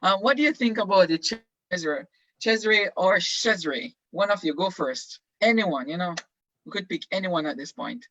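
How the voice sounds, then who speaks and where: A male teacher leading an online class discussion, halting with many ums as he prompts students with questions. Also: sits high, animated, sharp tone, no room sound. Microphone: video call. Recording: dull, dry video call audio.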